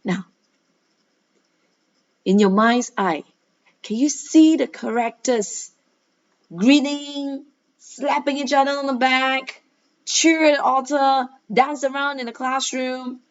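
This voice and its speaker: Female teacher for guided reading lesson: very slow pace, instructive questioning, emphatic key words, slightly muffled lo-fi recording. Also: high-pitched, fairly even intonation. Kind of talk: guided read-aloud lesson with questions and explanations. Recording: dull, lo-fi sound.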